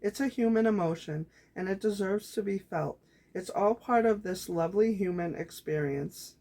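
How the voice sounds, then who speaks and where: A woman vlogger reading her handwritten journal entries aloud in a calm, reflective way, her voice growing raspy. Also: mid-range voice, normal speed. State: voice getting raspy.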